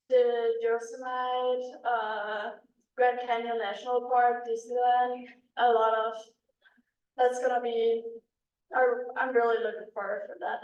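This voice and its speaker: A young Danish-accented woman with a slightly high voice talks casually, filling pauses with ums and likes. She sounds a little far from the mic, and the meeting room gives her voice a noticeable echo. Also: darkish tone. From Denmark. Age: young adult. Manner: chatty with many ums and likes. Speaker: exchange student. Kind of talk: casual talk at a club meeting.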